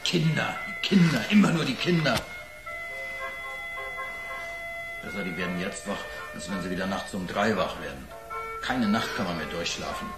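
A man's voice: low voice, lively intonation, a little fast, echoey room, music in the background. An actor playing a grumbling, hot-tempered family man and foreman, irritable and sarcastic, in a radio crime drama. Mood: exasperated.

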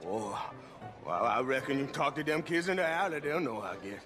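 A man's voice: medium pitch, expressive, natural voice. An actor playing a coarse, scornful barfly in a western game, drawing out his words with mockery. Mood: offhand. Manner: drawn-out, mocking. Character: coarse, scornful male barfly in a rough saloon. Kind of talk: cinematic western game acting. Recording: music behind the voice.

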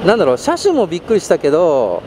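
A man's voice: a little high, wildly swooping pitch, fast talker. A man talking casually and at rambling length over a helmet intercom while riding a motorbike. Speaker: motovlogger. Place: outdoors on a motorbike on a night expressway. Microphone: helmet intercom headset. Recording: loud motorbike road and engine noise.

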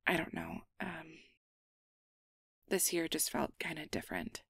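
A woman acts out a flustered young changeling woman in an intimate ASMR roleplay. Her voice sits fairly low with plenty of gravelly fry, and she speaks slowly and close in a dry space. She stammers and hedges, guarded on the surface and tender underneath. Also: big pitch swings, no room sound, full character voice. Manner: hesitant, flustered, stammering, downplaying.

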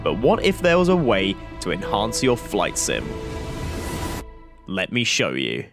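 A man with a croaky voice giving a chatty tutorial voice-over, full of fillers like "like" and "uh". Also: lowish voice, wildly swooping pitch, a little slow, over music. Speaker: flight sim YouTuber.

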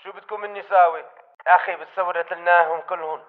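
Actor as fast, high-voiced Levantine militant man. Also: fairly even intonation, phone-quality sound, lightly acted. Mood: matter-of-fact. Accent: Levantine Arabic. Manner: terse. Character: wary male militant on guard duty. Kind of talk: video game battle chatter.

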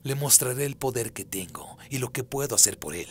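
A man's voice: medium pitch, animated, fairly quick, a little hiss or hum, close to an everyday voice. A man voicing a proud, sly fallen angel in a radio play, taunting and persuasive. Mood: scheming.

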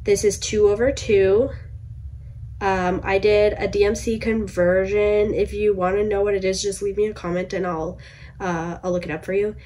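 A woman's voice: medium pitch, steady tone, normal speed, dark tone. A young woman with a congested, stuffy voice, chatting casually about her cross stitch projects from a home room, with lots of fillers and restarts. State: congested from a cold. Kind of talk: casual chat to camera.

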